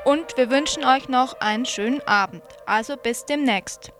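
A woman's voice: sits high, moderate pace, loud music behind the voice. A woman radio presenter reading out event announcements for listeners.

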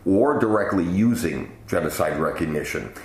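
A man giving a measured, deliberate interview answer in an analytical way. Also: sits low, animated, darkish tone. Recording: roomy, echoing interview sound.

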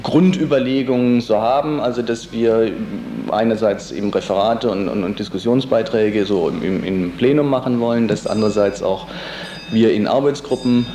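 A man being interviewed on the radio as a political organizer, speaking spontaneously and haltingly, often searching for words. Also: lowish voice, warm tone. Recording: roomy, echoey, faint background noise.

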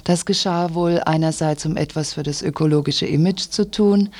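A woman's voice: low-pitched, moderate pace, darkish tone. A woman reading a scripted news report for radio in a studio. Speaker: news reader.

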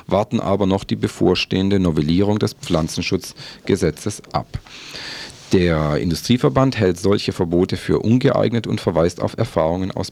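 A man's voice: deep voice, creaky, darkish tone, dry recording. A man presenting a scripted information segment on the radio.

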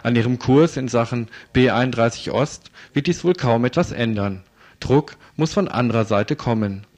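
A man's voice: mid-range voice, moderate pace, darkish tone. A man reading a scripted, critical commentary as a radio presenter.

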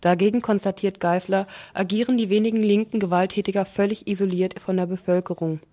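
Woman reading political news on radio, average pitch, restarts phrases here and there. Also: fairly even intonation, a little fast, warm tone. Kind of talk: scripted political news commentary.

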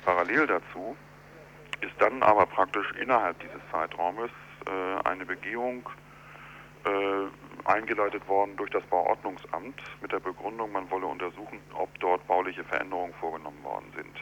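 A man speaking as an architect in a telephone interview, explaining in a measured, deliberate, argumentative way. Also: low voice, a bit bright. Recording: dull telephone line with clear hum.

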